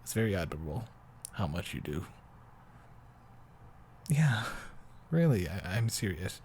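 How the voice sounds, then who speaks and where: A man playing an affectionate young boyfriend in an improvised bedtime roleplay, talking softly and haltingly, often sinking toward a whisper. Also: deep voice, big pitch swings, slight background noise, full character voice. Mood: earnest, proud. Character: affectionate, doting young boyfriend, soft and rambling. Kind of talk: improvised intimate roleplay audio, sleep aid.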